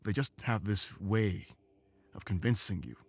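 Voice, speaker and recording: A man voicing an ancient, weary, remorseful animal companion in a visual novel game, speaking in an earnest, measured, confessional way. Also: lowish voice, close to an everyday voice. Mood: somber confession.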